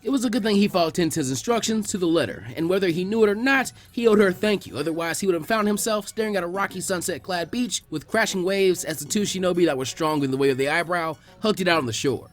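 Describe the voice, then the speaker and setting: A man reading scripted fan fiction aloud as its narrator. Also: fairly high pitch.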